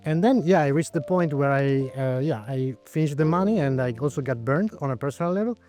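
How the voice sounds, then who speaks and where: A man's voice: on the high side, fairly quick, mellow, soft background music. A man in his forties from Italy, speaking with an Italian accent as a startup founder answering interview questions, thoughtful, with frequent hesitations. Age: 40s.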